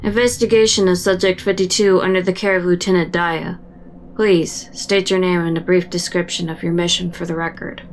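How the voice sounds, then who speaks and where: Woman acting a cool, lowish-voiced military investigator. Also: level tone, moderate pace, slight background noise, close to an everyday voice. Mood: formal. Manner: controlled, official. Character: cool, formal military investigator questioning a soldier. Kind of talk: sci-fi audio drama acting.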